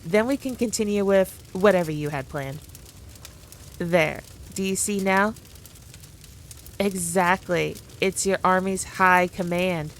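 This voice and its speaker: A woman's voice: fairly low pitch, slow, long pauses, a little hiss or hum. A woman playing a teasing, condescending demon queen in an ASMR roleplay audio drama, taunting the listener with haughty playfulness. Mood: haughty, menacing. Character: all-powerful demon queen, smug, dominant, teasing, condescending.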